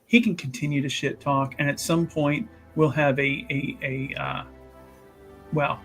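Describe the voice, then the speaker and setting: Man playing a bright-voiced, self-important zealot scholar. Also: a little high, fairly even intonation, measured pace, gravelly, faint music behind. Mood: boastful, defiant. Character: self-important, zealous male scholar rallying his devoted student.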